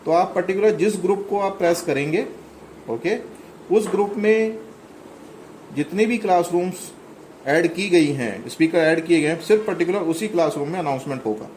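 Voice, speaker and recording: A middle-aged man walks through a product demo in a plain, explanatory way, pausing now and then to find the next step. His voice sits a little high for a man, and there is a faint background hum. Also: expressive, a little mellow, low-quality recording. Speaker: product demonstrator.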